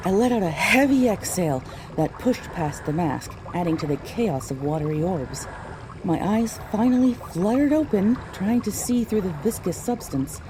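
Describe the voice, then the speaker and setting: A woman reading scripted first-person audiobook narration in a measured, dramatic storytelling manner. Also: medium pitch, expressive, noisy background, natural voice. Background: music.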